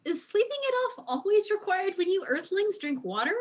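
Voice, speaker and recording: An actress playing a tipsy, hapless, naive alien spy in an audio drama, rambling as if drunk. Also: female voice, very high-pitched, very animated, normal speed. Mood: tipsy, self-pitying. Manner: slurred, rambling drunk talk.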